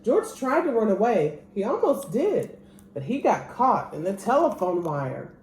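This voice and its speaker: A woman reads a picture book aloud to children with very animated intonation. Her voice is fairly high and a little mellow, recorded in an echoey room. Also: measured pace. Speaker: storyteller reading aloud. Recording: roomy echo, clear background noise.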